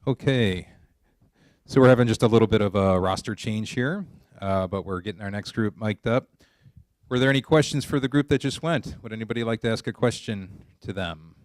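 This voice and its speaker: A man with a fairly low voice moderates a live event. He speaks informally to the room as an announcer, and the sound is dry and close. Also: fairly quick, dry recording. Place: live college library event.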